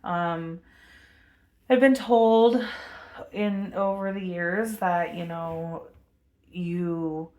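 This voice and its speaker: A woman vlogging a rambling spiritual monologue to her camera, meandering with many fillers and pauses, with a commanding voice. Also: on the low side, darkish tone. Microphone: webcam.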